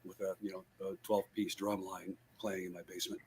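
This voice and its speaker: A man's voice: sits low, level tone, a little fast, darkish tone, a little hiss or hum. A man in his sixties, a guitarist guest in an interview, telling his story in a rambling way with many fillers and restarts over a video call. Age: 60s.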